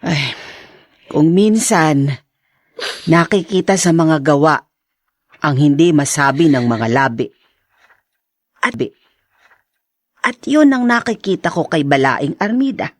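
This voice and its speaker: Patient older mother acted by a woman, lowish voice, slow pleading delivery, audio drama. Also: expressive, no room sound, exaggerated voice. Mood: earnest pleading. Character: patient older mother gently urging forgiveness.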